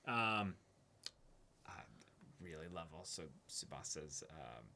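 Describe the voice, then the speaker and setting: A man talking casually as a co-host in a relaxed back-and-forth discussion. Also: sits low, slow, a little hiss or hum. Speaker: co-host of an anime reaction discussion.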